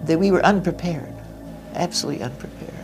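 An older woman who flew during the war, recalling her past in an interview with a measured, reflective manner. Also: deep voice, music in the background, poor audio. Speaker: interviewee, former wartime pilot.

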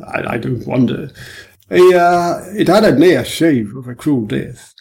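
A man reading an audiobook voices a jovial police superintendent who talks loudly and breezily. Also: on the high side, animated, unhurried, vocal fry. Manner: loud, breezy, chuckling.

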